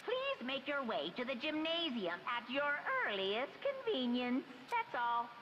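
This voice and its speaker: High, playful mascot announcer. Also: female voice, huge pitch swings, unhurried, a bit bright, lo-fi sound, a little hiss or hum, heavily acted. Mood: cheerfully mock-polite. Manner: playful, mock-polite. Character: playful, unconcerned mischievous mascot making school announcements. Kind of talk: video game announcement acting.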